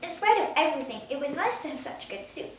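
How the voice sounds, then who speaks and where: High-voiced young girl reading, very echoey. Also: big pitch swings, quick pace. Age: child, ten years old. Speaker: story reader. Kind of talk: children's storybook read-aloud. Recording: very echoey room, distant mic, faint noise.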